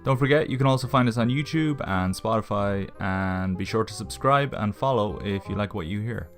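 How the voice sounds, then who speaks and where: A man with a fairly low voice delivers a scripted announcer's outro for a podcast. Gentle guitar music plays behind him. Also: moderate pace. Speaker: show announcer.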